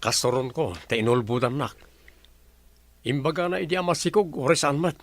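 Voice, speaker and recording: A man's voice: fairly high pitch, expressive, moderate pace, no echo, clean studio sound. A man playing an evasive, casual-talking fiancé and employer in a radio drama. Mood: teasing. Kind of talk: Philippine radio drama acting.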